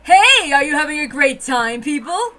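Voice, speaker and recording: Woman putting on a shrill, gleeful banquet emcee. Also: very animated, normal speed, pushed and bright, soft background music, exaggerated voice. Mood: manic glee. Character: boisterous, gleeful banquet emcee threatening to eat guests.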